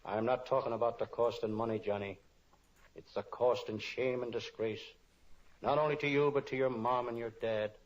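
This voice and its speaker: A man's voice: medium pitch, fairly even intonation, normal speed, close to an everyday voice. A man playing a wisecracking, kind-hearted private detective in an old-time radio spoof, both narrating and in dialogue. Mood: gentle, serious. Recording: dull lo-fi old radio recording.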